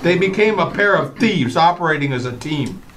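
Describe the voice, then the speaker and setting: A woman's voice: lowish voice, lively intonation, normal speed, slight background noise, lightly acted. A woman acting as an exasperated, scolding father, stern and flustered, in an old-time radio comedy. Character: Daddy, exasperated scolding father of a little girl.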